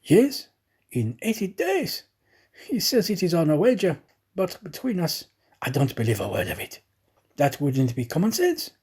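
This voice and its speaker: A man voicing a talkative, excitable, naive French manservant in a storybook, chatty and emphatic. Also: fairly high pitch, moderate pace, slightly rough, pitched above the performer's own voice, full character voice. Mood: chatty, confiding.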